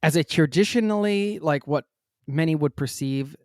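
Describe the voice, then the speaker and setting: A podcast host from Pennsylvania talks in an easygoing way and winds through long questions full of restarts. His voice is medium-pitched, and the recording is dry and close, with no room sound. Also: moderate pace, a little mellow, dry recording. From Pennsylvania, United States. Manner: rambling, searching for words, many restarts. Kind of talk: casual interview chat.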